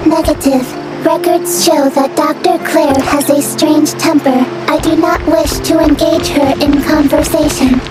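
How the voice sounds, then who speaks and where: A woman's voice: sits high, steady tone, unhurried, hardly any pauses, low-quality recording. A woman voicing a polite, literal young female robot companion in a video game, with clipped formal reporting. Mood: flat, literal. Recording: loud music behind the voice. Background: loud game music.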